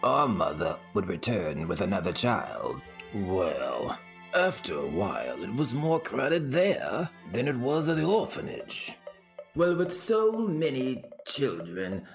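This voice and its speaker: A man telling stories as an interview guest in a theatrical, grand and droll manner, pausing for effect. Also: fairly low pitch, echoey room. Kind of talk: theatrical personal storytelling.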